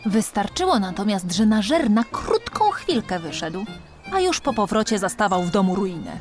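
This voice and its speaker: A woman's voice: mid-range voice, big pitch swings, moderate pace, darkish tone, faint music behind. A woman reading a children's storybook as an audiobook narrator, expressively and with dramatic emphasis.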